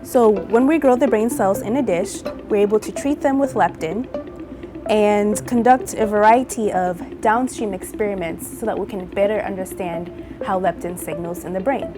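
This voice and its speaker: A young woman from Zambia, a PhD researcher, giving a measured, clear scripted explanation of her field. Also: mid-range voice. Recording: music bed underneath.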